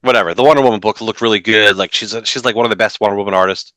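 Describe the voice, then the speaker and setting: A man in his forties co-hosting a comics podcast from home over a video call, animated with joking asides. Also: fairly low pitch, rapid-fire, no room sound. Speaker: podcast co-host. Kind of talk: casual chat about comics news.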